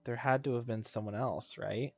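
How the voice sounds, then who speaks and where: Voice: medium pitch, fairly even intonation, normal speed, creaky. A game streamer giving casual commentary, thinking aloud and sometimes reading dialogue off the screen.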